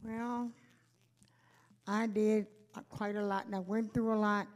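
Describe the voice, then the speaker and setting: An older woman speaks in a flat, level tone with little rise and fall. She answers simply and a bit hesitantly, not a practiced speaker. She is heard in a school hall. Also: mid-range voice, a little slow, slight background noise. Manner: plain, unpolished, a bit hesitant. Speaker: speaker's grandmother.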